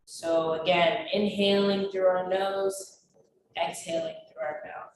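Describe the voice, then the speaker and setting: Woman fitness instructor, stuffy from a cold, unhurried cues, far off the mic with faint hiss. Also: fairly low pitch, steady tone. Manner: slow, encouraging counting cues. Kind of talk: guided exercise class with counting. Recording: very echoey, distant, faint noise.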